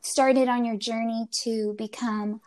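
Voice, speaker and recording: Slow-talking higher-voiced woman host, lots of ums, giggles. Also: fairly even intonation, darkish tone. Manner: hesitant, many ums, giggly. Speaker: interview host. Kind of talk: conversational interview.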